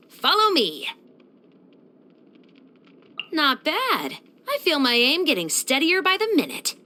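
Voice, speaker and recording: A woman's voice: a little high, sharp tone, heavily acted. An actress playing a stern, dutiful young female patrol captain in a video game, speaking in a composed, authoritative and earnest manner. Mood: brisk, commanding, then satisfied, confident. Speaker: video game character voice actor. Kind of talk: scripted video game character voice lines.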